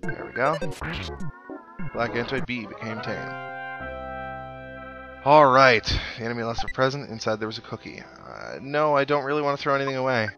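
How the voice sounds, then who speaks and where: A man with a medium-pitched voice hosts a casual gaming playthrough. He muses aloud in a rambling way and reads text off the screen. Game music plays clearly behind his voice. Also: expressive, moderate pace, pauses often, lo-fi sound. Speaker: let's play host. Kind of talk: casual gameplay commentary. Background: video game music.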